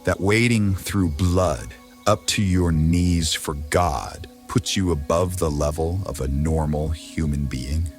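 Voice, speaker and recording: An actor playing a grave, troubled medieval duke in a fantasy audio drama, speaking in a measured, philosophical way. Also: male voice, a bass voice, soft background music. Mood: brooding.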